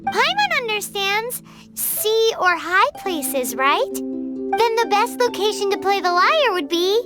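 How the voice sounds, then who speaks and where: A woman voicing a tiny, cheeky, excitable fairy companion who talks in exclamations, in an anime video game. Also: extremely high pitch, slow pace, exaggerated voice. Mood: curious. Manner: speaks of herself in the third person, exclamatory. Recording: music behind the voice.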